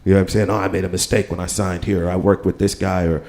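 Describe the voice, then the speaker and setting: A man with a low voice and an American accent, speaking slowly and thinking aloud at a live panel. His tone is warm and mellow, and he keeps asking whether you follow him. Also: level tone, vocal fry. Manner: rambling, keeps saying "you know what I'm saying". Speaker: panel guest, percussionist. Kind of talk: casual panel talk. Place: live radio studio with audience.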